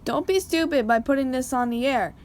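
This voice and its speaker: A woman's voice: high-pitched, brisk, darkish tone, slight background noise. A woman delivering scripted narration as a disclaimer reader.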